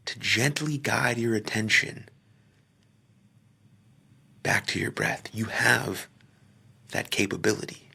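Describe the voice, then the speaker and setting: A man leading a guided meditation, speaking gently in short phrases with pauses and sometimes trailing off into a near-whisper. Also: fairly low pitch, creaky, husky. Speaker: podcaster. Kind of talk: guided meditation, soft slow instruction.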